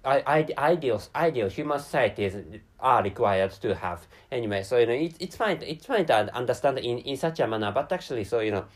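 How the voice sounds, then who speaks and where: A man from Japan with a Japanese accent, a native Japanese speaker, giving an unscripted podcast monologue in a very halting, rambling way with stammered repeats of words and syllables. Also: medium pitch, expressive. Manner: rambling and digressive. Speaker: podcast host.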